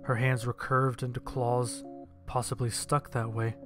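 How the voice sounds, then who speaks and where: A man's voice: mid-range voice, level tone, unhurried. A man reading a first-person horror story as a dramatic audio narrator. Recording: music bed behind the voice.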